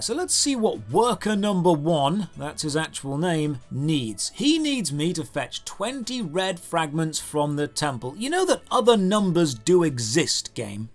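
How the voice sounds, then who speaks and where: Man, British accent, high pitch, exasperated comic review narration, background music. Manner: sardonic, exasperated, emphatic. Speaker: video game reviewer. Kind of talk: scripted comedic game review narration. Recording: music behind voice-over.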